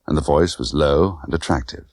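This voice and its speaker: A man's voice: rumbling low, moderate pace, a little mellow, no room sound, clean studio sound. A man reading a novel aloud as scripted dramatic narration. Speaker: audiobook narrator.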